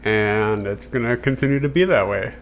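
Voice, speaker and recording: A man's voice: fairly low pitch, moderate pace, slight background noise. A man co-hosting a casual podcast, easygoing and playfully argumentative. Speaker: podcast co-host. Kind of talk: casual chat.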